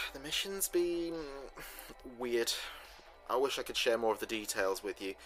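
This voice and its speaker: A man playing an earnest, uneasy spaceship communications officer, recording a halting, deliberate mission log in a sci-fi audio drama. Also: medium pitch, pushed and bright. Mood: forced cheer, unease. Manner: halting, deliberate, pausing mid-sentence. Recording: clear background noise and ambience.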